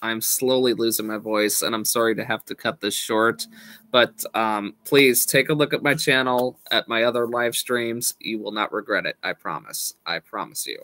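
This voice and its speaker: A man in his thirties chatting casually with viewers on a live stream from a small room at home, slowly losing his voice and speaking in halting restarts. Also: lowish voice, pushed and bright, dry recording. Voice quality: slow, halting, with frequent restarts and laughs. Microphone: webcam at desk.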